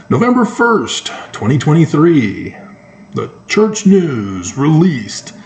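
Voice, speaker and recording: Slow-talking North American man, echoey room. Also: mid-range voice, darkish tone. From North America, moved around the United States and Canada. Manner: halting, with frequent pauses mid-sentence; sarcastic. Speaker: solo commentator. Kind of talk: informal opinion commentary on news. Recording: echoey room with faint background noise.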